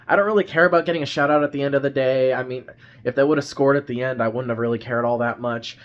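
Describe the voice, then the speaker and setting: A young man talks through a game recap in a casual, rambling way, his voice stuffy from a cold. His pitch sits right in the middle for a man. He stumbles and restarts often, scattering fillers through his thoughts. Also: fairly even intonation, fast talker, muffled recording. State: congested, has a cold. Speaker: sports fan vlogger.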